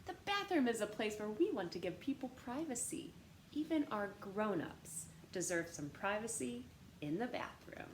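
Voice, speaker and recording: A woman reading a story aloud to children in an animated, teacher-like way, stressing words and posing questions. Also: mid-range voice, normal speed. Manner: expressive teacher-like delivery, dramatic gasps and emphasis. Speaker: storytime reader. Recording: roomy echo with faint background noise.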